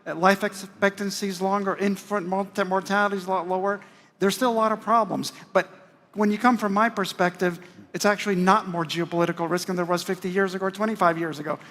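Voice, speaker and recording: High-voiced man in a big hall. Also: fairly even intonation, fast, a bit bright. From United States. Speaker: panelist, business executive. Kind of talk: panel discussion. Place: large conference hall stage. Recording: long hall reverb.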